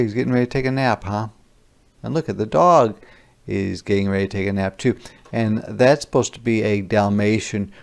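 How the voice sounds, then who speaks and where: A man with a creaky voice reading a children's picture book aloud and pausing to explain words simply. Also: lowish voice, a little mellow.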